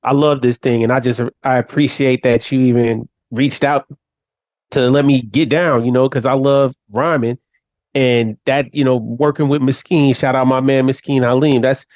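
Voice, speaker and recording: A man's voice: medium pitch, steady tone, moderate pace, a little mellow, dry recording. Man, easygoing appreciative chat, dry slightly lo-fi sound, podcast co-host. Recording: slightly dull, lo-fi.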